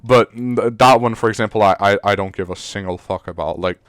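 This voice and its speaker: A man's voice: fairly low pitch, fairly quick, fairly bright tone, no echo. A Serbian teenage boy, a native Serbian speaker, co-hosting a podcast in casual chat, rambling with many fillers and restarts. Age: teens. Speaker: podcast co-host.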